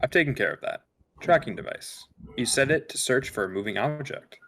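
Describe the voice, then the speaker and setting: A man's voice: mid-range voice, lively intonation, a little fast, sharp tone. A man playing a cool, evasive spaceship science officer in a sci-fi script table read. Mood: matter-of-fact.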